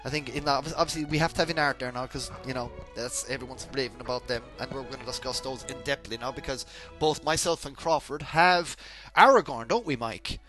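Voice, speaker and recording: Fast-talking chatty podcast host. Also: male voice, medium pitch, sharp tone, faint music behind. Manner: rambling, chatty, lots of restarts. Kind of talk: casual podcast chat.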